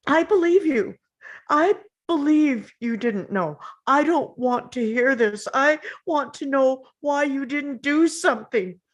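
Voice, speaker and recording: Woman acting a high-voiced, anguished, confrontational woman. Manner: pressing, halting, interrupting. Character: anguished, confrontational woman demanding answers from a grieving couple. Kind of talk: dramatic table read.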